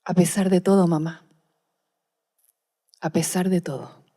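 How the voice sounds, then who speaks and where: A woman's voice: fairly low pitch, steady tone, measured pace, mellow. A woman playing a sorrowful, defeated married daughter, resigned and loyal, in a dramatised radio drama reading.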